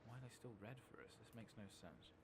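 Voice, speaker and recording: A soft-spoken man giving ASMR-style commentary over a game playthrough, muttering mildly exasperated asides. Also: fairly low pitch, very animated, a little fast. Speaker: gaming video host. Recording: loud constant background noise and clicking.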